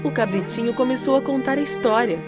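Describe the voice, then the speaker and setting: A woman narrating a scripted children's storybook in an expressive, dramatic way. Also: sits high, fast. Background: music.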